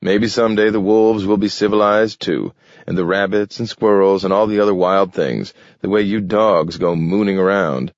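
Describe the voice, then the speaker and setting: A man's voice: sits low, fairly even intonation, moderate pace, dry recording. A man voicing a wary, grumpy male companion who mutters and snaps peevishly. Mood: grumbling. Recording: dull, dry recording.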